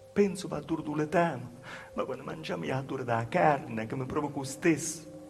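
Man playing an anxious Calabrian village woman, high-pitched, creaky. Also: noisy background. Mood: anxious, plaintive. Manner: halting, repetitive, dropping to hushed asides. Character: anxious, wronged young Calabrian village woman, simple and plaintive. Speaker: stage actor performing a monologue. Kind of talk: dialect theatre monologue, storytelling.

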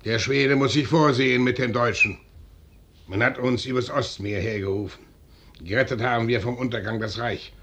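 An actor playing a guarded, stern Swedish envoy colonel in a classic German radio play, declaiming formally. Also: male voice, mid-range voice, a bit bright, a little hiss or hum, natural voice. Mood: proud, aggrieved. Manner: formal, measured declamation, rising to stern insistence.

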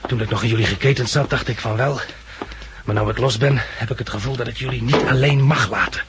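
A man's voice: mid-range voice, fairly quick, lightly acted. An actor with a Netherlands Dutch accent playing a resolute, practical man who leads blind disaster survivors in a 1960s radio drama. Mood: earnest. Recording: noisy, clicky 1960s radio recording.

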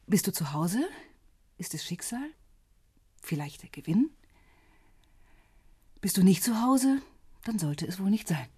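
Hesitant lover in radio drama, female, very breathy and husky, slow halting phrases, tender. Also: on the low side, dry recording, exaggerated voice. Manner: halting, soft, half-whispered phrases. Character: hesitant, tender woman arriving at her lover's home. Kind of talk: intimate radio drama dialogue.

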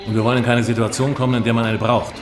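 An actor playing a wary, firm, sceptical traveller in radio play dialogue. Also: male voice, medium pitch, flat delivery, rapid-fire, lightly acted. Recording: roomy echo, music behind.

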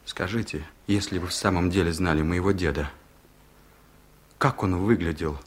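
An earnest young village violinist. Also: male voice, sits low, normal speed. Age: young adult. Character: young village violinist asking about his grandfather. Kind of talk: 1970s radio drama acting.

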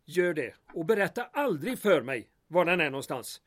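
An actor playing a shrewd, questioning small-town mayor in an audio drama, with a drily ironic manner. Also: male voice, fairly high pitch, sing-song. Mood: dry, sardonic.

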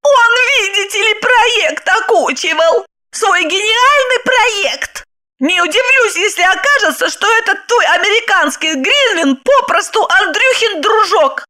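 A brassy middle-aged wife who jeers and shrieks at her husband in a piercing, very high voice that leaps all over the place. Her tone is bright and sharp, full of mockery. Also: animated, a little slow, exaggerated voice. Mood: shrieking. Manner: shrieking, mocking, cackling. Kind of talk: audiobook character reading.

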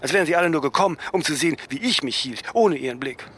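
An actor playing a cool, ironic man in a radio drama, speaking in a halting, reflective way with questioning tags. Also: fairly high pitch, animated, a bit bright, husky. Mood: reflective, grieving. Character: cool, self-absorbed, ironic man in conversation, grieving his mother.

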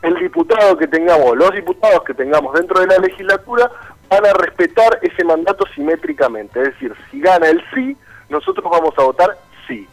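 A man's voice: sits high, big pitch swings, fairly quick, poor audio. A man with a Buenos Aires accent speaking as a political candidate in a radio interview by phone, laying out his points in an articulate, persuasive way. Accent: Rioplatense Argentine, Buenos Aires.

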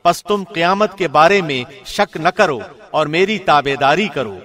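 A man reads a scripture translation formally, with the measured diction of a radio presenter. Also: on the high side, lively intonation, fairly bright tone. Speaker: translation reader, radio host.

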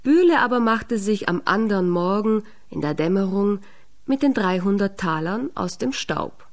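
A woman narrating a fairy tale in a radio drama, measured and expressive. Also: medium pitch. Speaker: storyteller.

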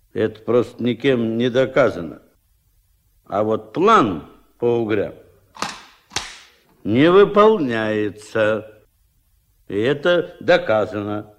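An actor playing a pompous, bossy, suspicious fishery boss in a radio play, with an officious, bureaucratic, blustering way of talking. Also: male voice, fairly low pitch, muffled recording. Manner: officious, bureaucratic, repeating catchphrases. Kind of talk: Soviet radio play acting.

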